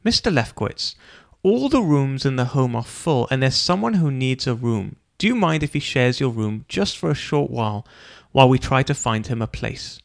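A man puts on a courteous care-home nurse, asking politely in his own gravelly storyteller's voice. The delivery is calm and plain. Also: mid-range voice, moderate pace.

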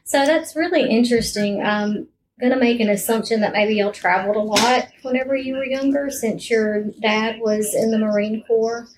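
A woman's voice: a little high, steady tone, moderate pace, background noise. A woman hosting a conversational interview. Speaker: podcast host, interviewer.

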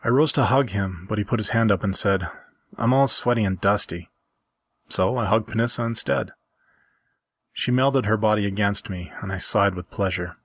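A man narrates a story in the first person, as an amateur reader would. His voice sits fairly low and has a very husky, breathy grain. The sound is dry and close. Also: normal speed, fairly bright tone, no echo.

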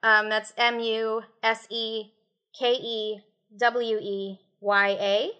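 A woman's voice: a little high, flat delivery, takes it slowly, bright, forceful tone, smooth. A woman speaking as a critic on a panel, informative and precise.

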